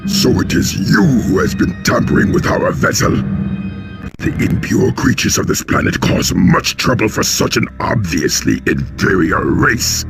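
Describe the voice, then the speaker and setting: An actor playing a pompous, contemptuous alien villain commander in a video game, with a growling, gravelly voice. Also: male voice, sits low, big pitch swings, unhurried, full character voice. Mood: haughty anger. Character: haughty menacing alien supremacist villain commander. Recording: loud music over the voice.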